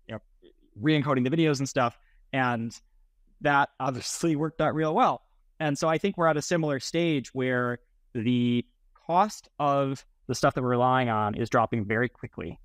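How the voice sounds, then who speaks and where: A man's voice: mid-range voice, no room sound. A man around forty, explaining things conversationally as an interview guest. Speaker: startup founder, interview guest. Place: indoors on a video call, plain room.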